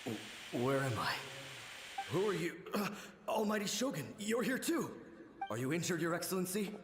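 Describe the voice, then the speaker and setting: A man's voice: high-pitched, very animated, moderate pace, roomy sound, in a large hall, noisy background. A man playing a loyal, dutiful young samurai in a video game, speaking formally in earnest. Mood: confused, concerned. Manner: formal, declarative.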